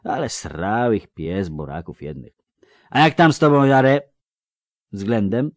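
A man's voice: lowish voice, lively intonation, measured pace, dry recording, clean studio sound, pitched above the performer's own voice. A man voicing a drunk, boastful young country lad who talks in a slurred rustic dialect. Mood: tipsy.

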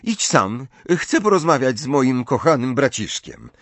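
A man's voice: mid-range voice, big pitch swings, measured pace, fairly bright tone, dry recording, pitched above the performer's own voice. A man voicing a defiant teenage girl who mocks her older brother with taunting, emphatic delivery in a storybook reading.

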